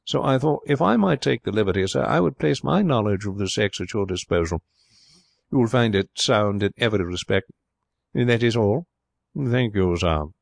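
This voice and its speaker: A man voicing a stately, deferential old butler who is indulgent and quietly scheming in a storybook reading. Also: on the low side, animated, moderate pace, vocal fry, no echo, studio quality, voice pushed up. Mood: stately, confiding. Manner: courtly, indulgent, formal and deferential.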